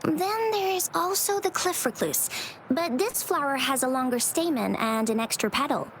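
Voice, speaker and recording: A modest young plant expert in a video game. Her voice is high and swings expressively as she explains in careful detail, with faint ambient noise behind. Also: lively intonation, normal speed. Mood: absorbed, informative. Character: shy, studious young woman botanist, eager expert.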